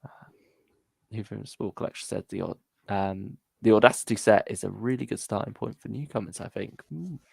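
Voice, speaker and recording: A man with a fairly low voice and heavy vocal fry co-hosts a casual fan discussion. He speaks in a hesitant, hedging way, recorded close and dry. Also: a little fast, no room sound. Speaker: podcast co-host. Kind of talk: casual fan discussion, reading listener comments.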